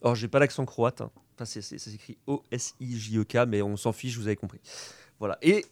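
A man running a sports quiz on a casual radio show, reading out names slowly and laughing often. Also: medium pitch, fairly even intonation, dry recording. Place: community radio studio. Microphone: studio microphone.

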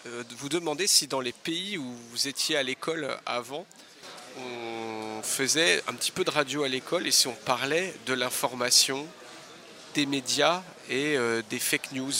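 A man's voice: medium pitch, moderate pace, pushed and bright. A man running a radio workshop, patiently asking a group of students questions in turn. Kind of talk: hosting a group introduction. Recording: busy crowd chatter behind.

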